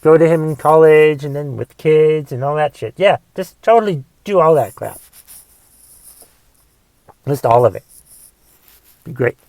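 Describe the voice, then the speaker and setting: A man in his forties with a raspy voice from a cough, casually rambling through a movie review on his podcast and thinking aloud with lots of fillers. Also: fairly high pitch, measured pace, long pauses, darkish tone, dry recording. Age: 40s. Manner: thinks aloud, musing questions, trailing fillers. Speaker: podcast host.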